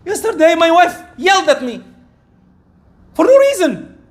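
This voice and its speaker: Middle-aged preacher, high voice, animated and rising to loud peaks. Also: male voice, measured pace. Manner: animated, acts out quoted exchanges, rising loud when excited. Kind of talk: sermon.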